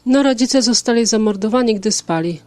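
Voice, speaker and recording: A woman playing a calm, matter-of-fact taxi passenger in an audio drama. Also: fairly high pitch, fairly quick, dry recording, lightly acted. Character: calm female taxi passenger recounting a grim crime.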